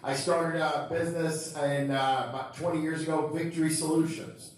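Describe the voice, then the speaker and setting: A man's voice: fairly high pitch, fairly even intonation, normal speed. A man in his fifties, born in Cuba, giving an earnest, conversational campaign introduction at a forum. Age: 50s. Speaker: political candidate. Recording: echoey hall, distant mic.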